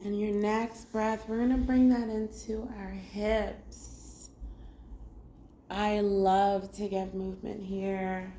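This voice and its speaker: A woman guides a meditation at a very slow, gentle pace, leaving long pauses between instructions. Her voice is soft-edged and mellow at a medium pitch. It sounds like a roomy, echoing space, with music playing behind her. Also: level tone, a little hiss or hum. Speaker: meditation teacher. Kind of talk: guided body scan meditation. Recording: roomy echo.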